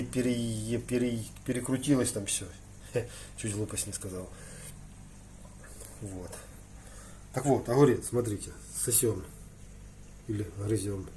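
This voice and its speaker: A man with a mid-pitched voice chats in a loose, slangy way, as if thinking out loud for a hobby vlog. He tends to clear his throat between phrases. The recording carries some background noise. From Ukraine. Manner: colloquial, slangy, thinking aloud. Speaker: hobby aquarium vlogger. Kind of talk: casual rambling vlog chat. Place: indoors in a room with aquariums.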